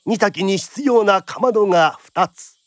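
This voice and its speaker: A man's voice: high-pitched, normal speed, dry recording, clean studio sound, faint music behind. A man narrating a period radio drama like a theatrical storyteller.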